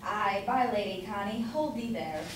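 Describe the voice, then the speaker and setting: A woman's voice: medium pitch, lively intonation, moderate pace, lightly acted. A young woman playing a blustering, doting citizen husband in an amateur staged play reading. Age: young adult. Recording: echoey hall from far off, noisy.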